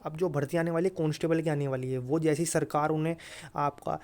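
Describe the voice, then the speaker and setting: A man's voice: a little high, steady tone, fairly quick, a little mellow. A man with a North Indian accent explaining updates informally to viewers, repetitive and insistent, mixing in English words. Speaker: exam-update YouTuber.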